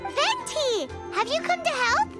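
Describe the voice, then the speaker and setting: A woman's voice: very high-pitched, huge pitch swings, unhurried, over loud music, heavily acted. A woman voicing a tiny, chirpy and blunt female fairy companion with a childlike manner in a video game. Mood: excited. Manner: speaks of herself in third person, suspicious. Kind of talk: video game character acting.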